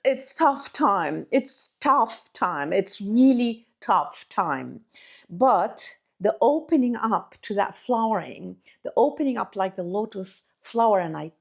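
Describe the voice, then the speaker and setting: Effusive woman's spiritual monologue. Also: medium pitch. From Egypt. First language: Arabic. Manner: effusive and incantatory, addresses listeners as beloved, many "you know, like" fillers. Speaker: spiritual teacher and channel host.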